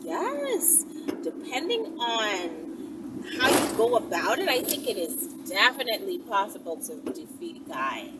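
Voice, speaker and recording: A woman host chats casually to her audience in a high, very lively voice. She is giggly and playful and lingers over her words at a slow pace. The recording has heavy vehicle-like noise around her, and she sounds a little distant from the microphone. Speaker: host answering viewer questions. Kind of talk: casual vlog chat to viewers. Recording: loud ambient vehicle noise, off the mic.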